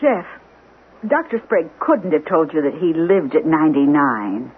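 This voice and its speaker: Probing young-sounding wife, female, animated pitch, hesitant phrasing, narrow vintage radio sound. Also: moderate pace, slightly rough, heavily acted. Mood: probing, puzzled. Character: thoughtful, inquisitive wife puzzling out a mystery. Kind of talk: radio mystery drama acting. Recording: thin, noisy vintage radio broadcast.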